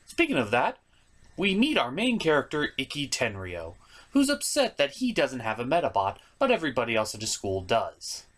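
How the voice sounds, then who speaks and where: A man hosting a comedic review show, narrating a script in an animated, theatrical and exaggerated way with mock exasperation. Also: mid-range voice, moderate pace.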